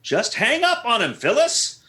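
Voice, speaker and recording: A man's voice: very high-pitched, wildly swooping pitch, unhurried, sharp tone, full character voice. A man playing a jealous, exasperated, wisecracking husband in old-time radio comedy, grumbling and sarcastic in an echoing room. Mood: exasperated, urgent.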